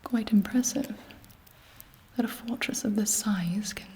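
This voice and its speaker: A woman's voice: medium pitch, measured pace, warm tone, lightly acted. A woman voicing an imperious, seductive vampire queen in a whispered ASMR roleplay, with haughty, sardonic, formal phrasing. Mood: sardonic, scheming.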